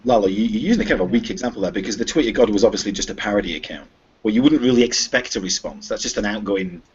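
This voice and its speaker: A man in his forties hosting a casual group chat, talking quickly, interrupting and questioning the others. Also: on the low side, husky. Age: 40s. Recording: dull lo-fi sound.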